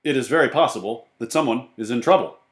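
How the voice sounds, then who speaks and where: A man playing a dutiful, self-important policeman with a deliberate, formal manner in a children's storybook. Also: mid-range voice, reverberant, natural voice. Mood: earnest, self-important. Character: pompous, dutiful policeman who questions and answers himself.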